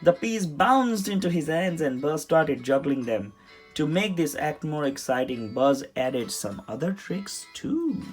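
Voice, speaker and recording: Someone reading a children's story aloud in an expressive, dramatic way. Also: medium pitch, a little slow. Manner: animated, dramatic delivery. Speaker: storybook reader. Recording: music under the voice. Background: music.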